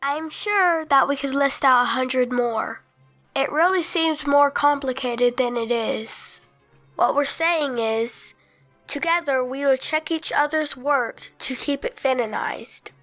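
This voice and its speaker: High-voiced woman inviting creators. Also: slow pace, fairly bright tone, faint music behind. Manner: inviting, persuasive. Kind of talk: scripted announcement reading.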